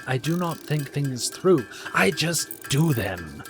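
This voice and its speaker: High-voiced, unhurried male streamer. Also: big pitch swings, a bit bright, faint music behind. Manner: playful, rambling, self-mocking. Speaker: game streamer. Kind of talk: casual live-stream chatter.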